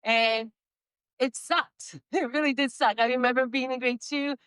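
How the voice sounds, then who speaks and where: Bright high-voiced woman, slight Spanish accent. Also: fairly even intonation, clear, resonant tone, dry recording, studio quality. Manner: emphatic, slows down for key phrases, laughs between points. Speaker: motivational speaker. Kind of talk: inspirational stage speech.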